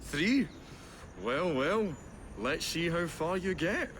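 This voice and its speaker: A man playing an eccentric, polite, nervy young Victorian gentleman inventor in a video game, with a British accent and a hesitant, wordy, theatrical way of talking. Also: fairly high pitch, animated, takes it slowly, slight background noise. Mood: mocking, playful. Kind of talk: video game cutscene acting.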